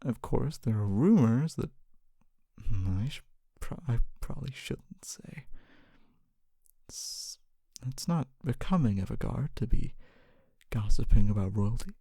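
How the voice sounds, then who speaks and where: A performer voicing a kindly, humble fantasy city guard in a soft-spoken ASMR roleplay, often whispering, with a gentle, hesitant and reassuring manner. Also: mid-range voice, animated, measured pace, gravelly, slightly rough, no room sound, exaggerated voice. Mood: gentle, chatty, hesitant. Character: kindly, humble city guard, wannabe knight, calming and helpful.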